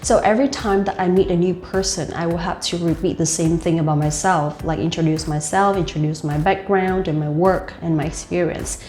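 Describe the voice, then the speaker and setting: A woman's voice: fairly low pitch, fairly even intonation, brisk, few pauses, darkish tone. A young woman with a Vietnamese accent gives casual speaking tips, talking loosely with many "like" fillers. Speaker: host and life coach. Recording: roomy with faint music.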